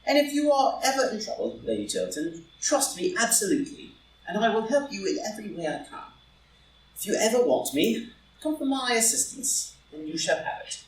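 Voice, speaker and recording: A man playing a witty, idle English lord and loyal friend in a live reading of a stage comedy, speaking haltingly with pauses. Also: high voice, sing-song. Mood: earnest. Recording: roomy, distant, noisy live group recording.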